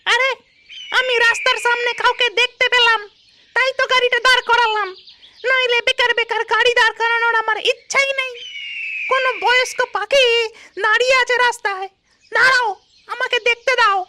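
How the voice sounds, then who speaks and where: A woman's voice: very high-pitched, little pitch movement, fairly quick, pushed and bright, slight background noise. A woman voicing a grumbling little bird bus driver in a cartoon.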